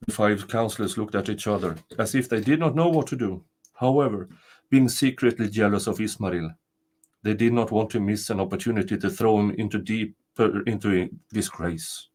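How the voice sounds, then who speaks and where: An older man narrating a storybook tale aloud in a deliberate, dramatic way. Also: lowish voice, darkish tone. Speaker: storyteller reading folktales.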